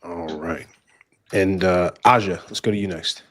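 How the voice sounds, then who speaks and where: A man hosts a panel discussion over a video call, his voice sitting on the low side. He keeps things easygoing, with loose fillers between questions. The sound is dry and close. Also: unhurried, no echo. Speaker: podcast host. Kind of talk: casual panel moderation.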